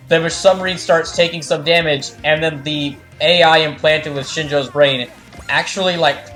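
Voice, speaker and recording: A man's voice: on the high side, fairly bright tone. A man giving casual livestream commentary on a video game, rambling enthusiastically as he explains lore. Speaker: streamer doing live fan dubs of a video game. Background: music.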